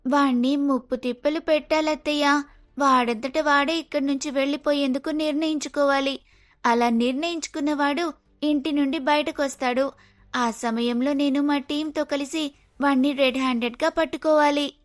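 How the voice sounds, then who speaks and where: A woman's voice: high-pitched, fairly even intonation, moderate pace, lightly acted. A woman playing a bold, scheming young daughter-in-law in a cartoon moral story, insistent and dramatic.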